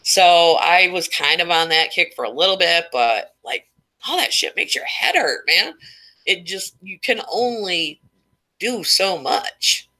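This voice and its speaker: A woman's voice: fairly low pitch, lively intonation, a little slow, pushed and bright. A woman hosting a casual live stream, chatty and rambling, stuffed up and losing her voice. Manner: rambling, chatty, reading chat names aloud.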